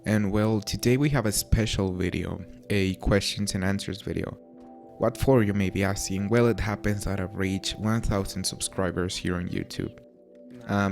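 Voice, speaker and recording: A young man from Mexico in his late teens with a Mexican accent softened by British touches, chatting casually and answering viewer questions with a relaxed, self-deprecating manner. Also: deep voice, creaky. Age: young adult. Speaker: YouTuber. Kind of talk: casual Q&A to camera. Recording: faint music underneath.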